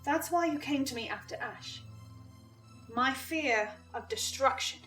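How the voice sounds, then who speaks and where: A woman playing an anxious, haunted young woman in an audio drama, halting and emotionally strained. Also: sits high, fairly bright tone, faint music behind, rough recording. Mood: defiant, afraid. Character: anxious, haunted young woman fearing her own corruption.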